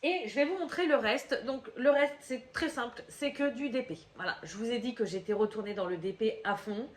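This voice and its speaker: A woman's voice: a little high, moderate pace, echoey room. A woman with a slight cold, chatting casually to her camera at a table at home, rambling with many fillers and restarts. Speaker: crafting vlogger. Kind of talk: casual chatty video monologue. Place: room at home, table in front. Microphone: camera at table, head out of frame.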